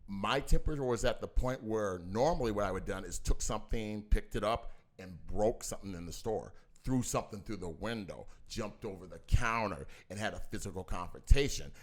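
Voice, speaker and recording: A man's voice: fairly low pitch, a little fast. An older man, a father in a family mediation, talking emphatically and repeating himself, insisting on finishing his point. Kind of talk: heated family discussion.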